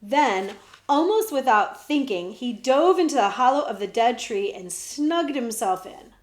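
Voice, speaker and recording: A woman librarian reading a children's book aloud with lively, expressive narration. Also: on the high side, unhurried. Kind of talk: storybook read-aloud. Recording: echoey room.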